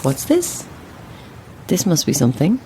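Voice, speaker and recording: A woman's voice: low-pitched, huge pitch swings, unhurried, noisy background, exaggerated voice. A woman playing a flustered, self-dramatizing struggling actress who rambles theatrically to herself in an audio drama. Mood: wry, musing. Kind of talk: modern audio drama acting.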